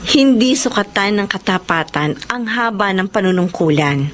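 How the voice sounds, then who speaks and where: A medium-pitched female candidate played by an actress in a radio drama. She answers firmly and pointedly, earnest and defiant, over faint background noise. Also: expressive, moderate pace, close to an everyday voice. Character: firm, defiant female election candidate, a niece. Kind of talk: Filipino radio drama acting.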